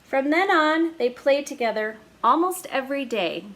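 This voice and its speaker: A woman storyteller reading a children's picture book aloud in a slow, deliberate way. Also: sits high, animated, fairly bright tone.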